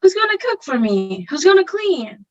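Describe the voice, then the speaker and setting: A boorish, bullying stepfather acted by a woman in a high-pitched voice. The delivery is grumbling, demanding and contemptuous, part of a theatre table read with dull, lo-fi sound. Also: big pitch swings, normal speed, darkish tone. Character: selfish, boorish, bullying stepfather, grown man.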